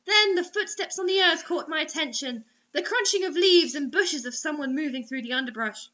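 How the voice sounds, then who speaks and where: A woman with a bright, very high voice hosts a story reading. She delivers it theatrically and drops in sarcastic, mocking comments. The recording is dull and lo-fi. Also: animated, normal speed. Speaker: host reading bad online fiction aloud.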